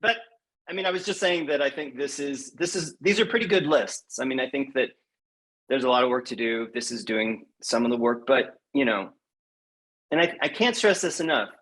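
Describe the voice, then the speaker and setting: A man's voice: medium pitch, expressive, normal speed, fairly bright tone, low-quality recording. A man speaking as a poet guest in a casual podcast interview, frank and emphatic, repeating phrases for stress.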